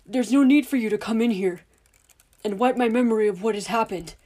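A woman voicing an angry, protective middle-aged father who shouts defiantly. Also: medium pitch, fairly even intonation, normal speed, husky, lightly acted. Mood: furious.

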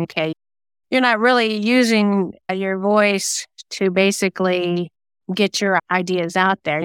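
Low, clear-voiced woman in an interview. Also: dry recording. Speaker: guest, singer-songwriter and self-published novelist. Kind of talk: conversational interview answers.

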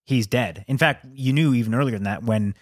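A man's voice: mid-range voice, brisk, no echo, clean studio sound. A man co-hosting a film review podcast, chatting casually and thinking aloud with hesitations and the odd swear word. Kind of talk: casual chat.

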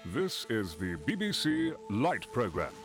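A man's voice: lowish voice, wildly swooping pitch, a little slow. A man playing a showy British radio announcer and disc jockey in a vintage broadcasting parody. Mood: upbeat, showy. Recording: radio montage under loud music.

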